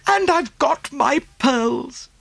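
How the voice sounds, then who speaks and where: A man's voice: very high-pitched, expressive, slow pace, creaky, a bit bright, voice pushed up, heavily acted. A man voicing a wealthy middle-aged wife, effusive and pleased with herself, in an audiobook. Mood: smug delight. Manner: effusive, triumphant.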